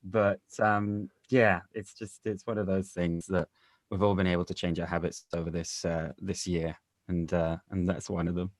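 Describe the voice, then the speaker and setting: A man's voice: deep voice, level tone, normal speed, no echo. A man chatting casually as an interview guest in a wry, self-mocking manner. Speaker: audio drama director, interview guest. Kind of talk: casual chat. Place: home, on a video call.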